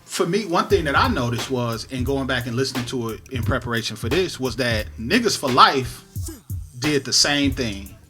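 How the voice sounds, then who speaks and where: A man's voice: medium pitch, animated, normal speed, bright, forceful tone. A man hosting a podcast, from Detroit, talking shop about music production in a casual, slangy, analytical way. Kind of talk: casual conversational interview.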